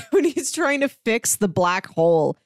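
A woman's voice: a little high, very animated, unhurried, no room sound. Woman in casual chat, dry close sound. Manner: retells scenes by voicing characters' thoughts. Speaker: podcast co-host. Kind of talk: casual comic book review chat.

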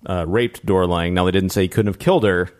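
A man hosting a podcast, recapping in a casual, halting way as he talks. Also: low voice. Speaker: podcast host recapping TV episodes.

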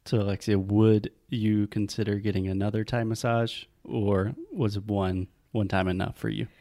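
A man's voice: lowish voice, level tone, moderate pace, mellow. A man co-hosting a casual chat podcast, patiently explaining English pronunciation. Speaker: podcast co-host, English teacher.

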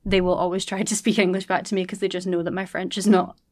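A woman's voice: fairly low pitch, level tone, fast talker, a little mellow, no room sound, clean studio sound. A woman hosting a casual interview, asking questions and backing the guest with "mm-hmm" and "yeah". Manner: friendly, lots of "mm-hmm" and "yeah". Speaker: podcast host.

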